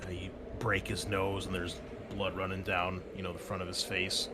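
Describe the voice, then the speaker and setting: A man narrating as game master, relaxed and full of ums and uhs. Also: low-pitched, normal speed, very noisy. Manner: relaxed narration with many fillers.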